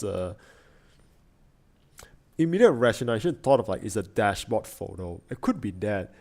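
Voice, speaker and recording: A man's voice: mid-range voice, darkish tone. A man streaming a casual reaction, thinking aloud with lots of fillers and restarts. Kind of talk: casual chat.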